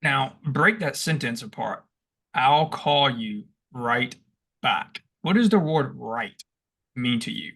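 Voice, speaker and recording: A young man co-hosting a podcast, telling stories in a casual, animated way and acting out what he shouted. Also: medium pitch, takes it slowly, gravelly. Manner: animated, acts out past shouts; rambling with restarts and laughs. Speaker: podcast co-host. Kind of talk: casual storytelling chat.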